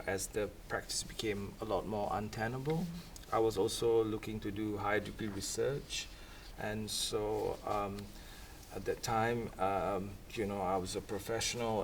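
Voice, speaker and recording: A man at a university event. His low voice wanders through long, reflective thoughts. He sits at a distance from the mic with faint room noise. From Singapore. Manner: thoughtful, rambling, with soft laughs. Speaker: academic panelist. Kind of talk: reflective panel talk.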